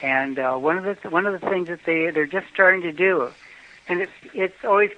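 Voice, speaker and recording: A man's voice: high voice, moderate pace, no room sound. Rambling older man, dull lo-fi audio. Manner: rambling, many uh fillers and restarts. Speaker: travel guest. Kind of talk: casual interview chat.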